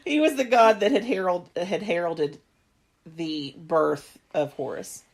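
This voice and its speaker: A woman's voice: fairly low pitch, a little slow, a little hiss or hum. A woman in her thirties chatting casually as a podcast co-host, rambling and restarting often. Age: 30s. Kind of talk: casual chat.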